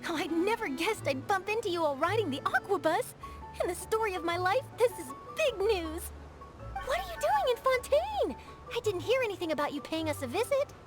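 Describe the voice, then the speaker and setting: An actress playing a bubbly, ambitious young woman reporter in an animated game, gushing and dramatic. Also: very high-pitched, fairly quick, music in the background. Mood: delighted.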